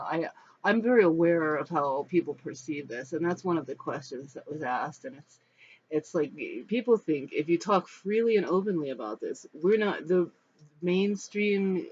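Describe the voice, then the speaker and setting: An American in their fifties from Los Angeles, giving a personal talk to camera in a reflective, halting, rambling manner. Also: medium pitch, husky. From Los Angeles, United States. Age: 50s. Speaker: spiritual storyteller. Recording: dull, muffled sound with background noise.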